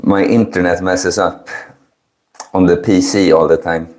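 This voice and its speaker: A man in his early thirties with a low-pitched voice, talking slowly to the viewers of his livestream. His delivery is chill and low-key, like an easygoing, informal chat. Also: steady tone, darkish tone. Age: early 30s. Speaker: livestream host. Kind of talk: casual chat with live stream viewers.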